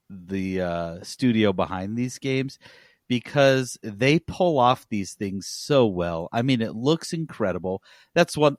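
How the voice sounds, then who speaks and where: Male podcast co-host with a medium pitch and dry sound, for informal, enthusiastic gamer chat. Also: dry recording. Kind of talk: casual gaming chat.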